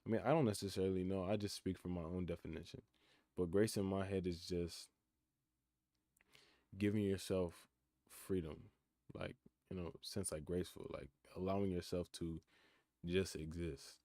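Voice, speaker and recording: A man's voice: low-pitched, steady tone, moderate pace, pauses often, mellow, no room sound, clean studio sound. A nineteen-year-old man chatting casually on a live stream, reading out questions from chat and answering them in a laid-back, reflective way. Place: his room in college housing. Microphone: computer mic.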